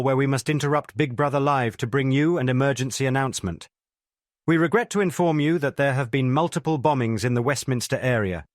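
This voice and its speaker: A man's voice: mid-range voice, no echo. Man, formal emergency TV announcer, dry studio sound. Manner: formal, measured. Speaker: television continuity announcer. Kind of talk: scripted emergency news announcement.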